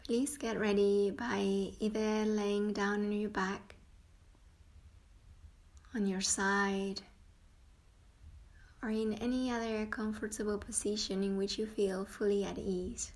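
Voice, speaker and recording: A woman guiding a yoga nidra meditation in a soothing way, leaving long pauses between phrases. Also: mid-range voice, flat delivery. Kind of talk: guided meditation.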